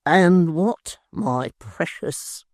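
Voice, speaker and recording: A woman's voice: mid-range voice, expressive, slow pace, dry recording, studio quality, voice pushed up. A woman voicing a vain young male apprentice, urgent and coaxing.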